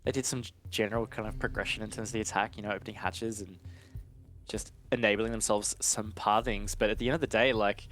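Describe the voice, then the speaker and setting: A man's voice: lowish voice, steady tone, fast, fairly bright tone. A man giving conversational live analysis as an esports caster, in an informal way with casual asides.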